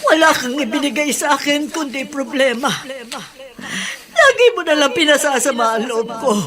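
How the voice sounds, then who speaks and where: A woman's voice: high voice, expressive, moderate pace, a crowd in the background. An actress playing an embittered, long-suffering mother in a radio drama, emotional and scolding. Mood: anguished scolding. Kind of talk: Tagalog radio drama acting.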